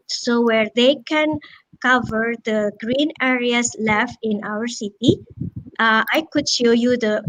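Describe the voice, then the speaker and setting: A woman from Indonesia with an Indonesian accent, a guest teacher explaining informally and haltingly over a video call. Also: fairly high pitch, a bit bright, no echo, rough recording. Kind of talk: informal explanation on a live webinar. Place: indoor room, video call. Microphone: headset.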